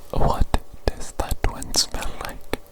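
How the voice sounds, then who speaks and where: Whispering woman in an ASMR doctor roleplay. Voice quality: breathy unvoiced whisper. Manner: slow, repeats phrases softly. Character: fussy evil doctor examining a creature. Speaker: ASMR performer playing a doctor.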